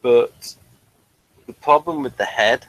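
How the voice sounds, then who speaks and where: Male review host, mid-pitched, informal and unscripted, feels his way haltingly through each thought. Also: a bit bright, no room sound, rough recording. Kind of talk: casual unscripted review chat.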